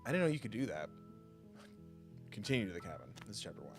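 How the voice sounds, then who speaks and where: A man's voice: on the low side, sing-song, quick pace. A man doing live gameplay commentary, thinking aloud playfully and reading text from the game. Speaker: game streamer. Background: game music.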